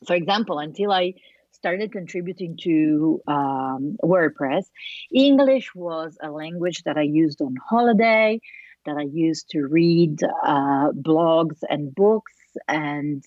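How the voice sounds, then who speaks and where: A woman with a strong Italian accent, speaking English as her second language in a relaxed interview chat. Her voice sits low for a woman and sounds dry and a little muffled. She pauses often with fillers and restarts her sentences. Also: no room sound. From Italy. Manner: thoughtful, with many fillers and restarts. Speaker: podcast guest.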